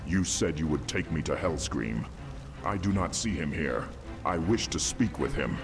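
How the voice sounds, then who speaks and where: Man acting as noble young orc, low voice, formal, earnest, determined, fantasy game cutscene, music behind. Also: expressive, normal speed. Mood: firm. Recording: music under the voice.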